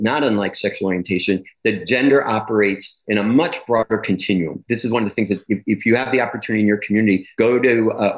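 Expressive man explaining with rising animation. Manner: expressive and animated, rising in pitch as he builds his points; reflective, with repeated phrases and restarts. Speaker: guest, clinician and educator on gender. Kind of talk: conversational interview answer.

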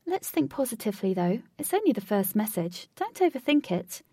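A woman's voice: fairly high pitch, sing-song, brisk, mellow, no room sound, studio quality. A woman voicing a frank, level-headed young woman with a casual manner, in a story. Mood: advising. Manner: casual, matter-of-fact.

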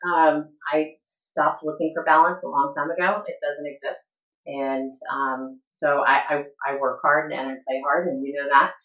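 A woman guest talking candidly in an interview, laughing often and pausing with "um" between thoughts. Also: low-pitched, flat delivery. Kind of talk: casual interview talk. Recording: echoey room, dull lo-fi sound.

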